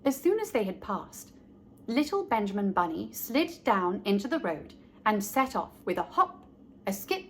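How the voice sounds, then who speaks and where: A woman reading a children's story aloud as host, speaking directly to young listeners in a deliberate way. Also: mid-range voice, a little hiss or hum.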